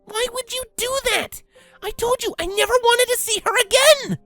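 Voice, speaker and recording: A woman's voice: very high-pitched, moderate pace, fairly bright tone, faint music behind. A woman voicing a scared, defiant young pony filly with pleading, emphatic delivery in an acted audio drama. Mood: angry, upset.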